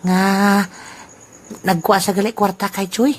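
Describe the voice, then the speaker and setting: A woman's voice: medium pitch, steady tone, measured pace, darkish tone. A woman voicing a sly old man who sells off a friend's things and chuckles at his scheme. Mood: scheming. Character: sly old man selling stolen goods. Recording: noisy amateur recording.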